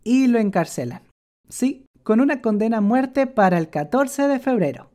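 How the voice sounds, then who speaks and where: Playful woman narrating, dark tone. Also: a little high, lively intonation, moderate pace. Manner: sarcastic, playful asides. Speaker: animator narrator. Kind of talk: comic scripted narration.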